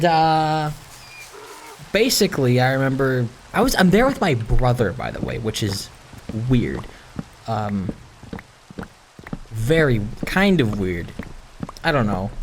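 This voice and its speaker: A man with a very lively, swooping mid-pitched voice rambles informally as he hosts a gaming video. He is halting and self-conscious, circling back on his words and swearing casually. There is noticeable noise in the background. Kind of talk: casual rambling chat while playing.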